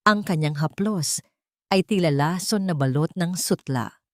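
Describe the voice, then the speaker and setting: Low-voiced woman narrating a dramatic story, dry recording. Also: unhurried, no room sound. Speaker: audiobook narrator.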